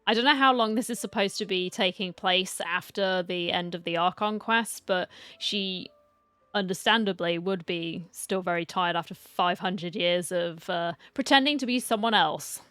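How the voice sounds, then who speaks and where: A woman's voice: fairly low pitch, normal speed, a bit bright, clear, resonant tone, dry recording, faint music behind. A woman gaming streamer giving a casual, chatty live reaction, talking back to the characters.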